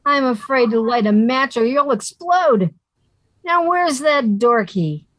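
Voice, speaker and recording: Woman as a sensible, sardonic friend: slightly high, dry studio sound. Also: animated, takes it slowly, no echo. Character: sensible, wisecracking young woman. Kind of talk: radio comedy acting.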